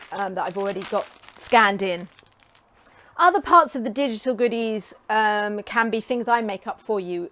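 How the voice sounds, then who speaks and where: A woman in her forties from England talks casually to camera, chatty and enthusiastic with frequent ums, her voice getting hoarse. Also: medium pitch. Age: 40s. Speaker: creative coach and vlogger.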